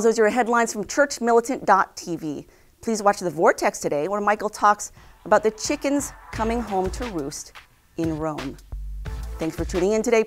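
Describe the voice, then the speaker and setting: A young adult woman reading scripted news headlines to camera as an anchor, in a formal, measured way. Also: medium pitch. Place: indoor news studio.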